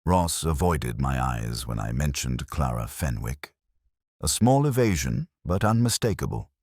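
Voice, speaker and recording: Give the narration to a man playing a brooding police inspector with an extremely low, husky voice. He recounts his case in measured, literary phrases, melancholic and introspective, with wide swings in pitch, as in a detective audio drama. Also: very animated, darkish tone, dry recording, studio quality, exaggerated voice. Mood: brooding, reflective.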